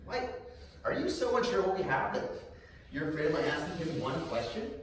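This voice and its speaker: An actor playing Sam, a troubled, defensive psychiatric patient, in an emphatic theatrical style. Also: male voice, on the high side, sing-song, fairly quick. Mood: defensive, earnest. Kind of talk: theatrical stage acting. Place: live stage showcase in a room. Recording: very echoey room, distant mic, noisy background.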